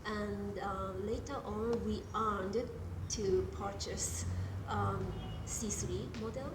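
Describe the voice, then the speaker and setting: A woman with a Japanese accent, a guest jazz pianist, talking casually in an interview and pausing often on ums and uhs. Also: mid-range voice, fairly even intonation, heavy background noise. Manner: hesitant, many ums and uhs. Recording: echoey room with music behind.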